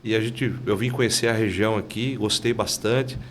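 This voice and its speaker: A man, a bank manager guest on a podcast, telling his story calmly and often tagging his sentences with "né?". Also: lowish voice, moderate pace, a little hiss or hum. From Santa Catarina, Brazil. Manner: calm, storytelling, frequent "né?" tags. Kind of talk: casual podcast interview.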